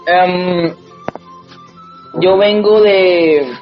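Man from venezuela, high voice, slow and hesitant delivery, dull lo-fi sound, music underneath. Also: fairly even intonation. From Venezuela, Margarita island. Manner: hesitant, restarting. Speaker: community radio participant. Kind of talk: personal testimony.

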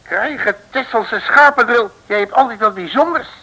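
An actor playing a blustering, muddle-headed detective who grumbles bossily in an old radio comedy serial. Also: male voice, very high voice, moderate pace, pushed and bright, exaggerated voice, low-quality recording. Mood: exasperated. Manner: bossy, colourful grumbling. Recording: vintage mid-century radio recording.

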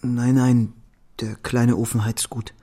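A man's voice: medium pitch, level tone, takes it slowly, noisy background, natural voice. An actor playing a humble, desperate young salesman and father in a radio play, sometimes stammering and pleading. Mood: gentle. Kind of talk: German radio play acting.

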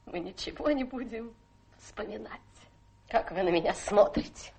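A woman's voice: medium pitch, moderate pace, a little mellow, slight background noise, lightly acted. An actress playing a self-pitying adventuress who poses as a tragic fallen lady in a radio play, melodramatic, plaintive and coquettish. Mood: wistful, resigned. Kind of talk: theatrical radio play acting.

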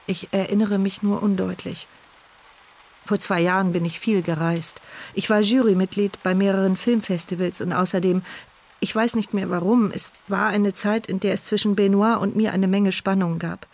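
An actress playing a paralysed, observant young woman who narrates her inner thoughts in a measured, articulate radio drama monologue. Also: medium pitch, steady tone, a little mellow, lightly acted. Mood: reflective.

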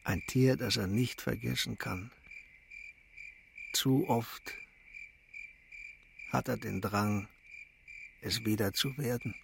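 An actor playing a calm, wise older shopkeeper and mentor in a radio play, speaking deliberately and philosophically. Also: male voice, fairly low pitch, gravelly, slight background noise, exaggerated voice. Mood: calm, contemplative.

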